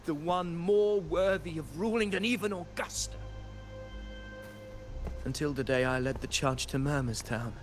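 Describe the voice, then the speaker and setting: An actor playing a proud, disillusioned fallen hero in a video game, speaking in a dramatic, brooding monologue. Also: male voice, fairly high pitch, unhurried, long pauses, soft background music, lightly acted. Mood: proud, then shaken.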